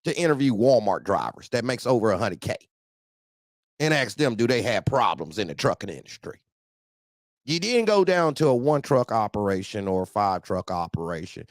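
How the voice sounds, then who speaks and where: A man's voice: on the low side, very animated, normal speed, dry recording, clean studio sound. An American man hosting a casual, heated talk show, speaking in slangy colloquial language and repeating phrases for emphasis. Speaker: host of a trucker talk show. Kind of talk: casual, heated group chat.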